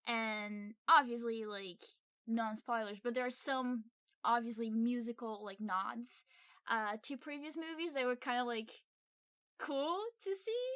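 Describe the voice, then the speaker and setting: Higher-voiced woman chatting on a podcast. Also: a bit bright, no room sound, studio quality. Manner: halting, rephrases as they think aloud. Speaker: podcast co-host reviewing a film. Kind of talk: casual unscripted review chat.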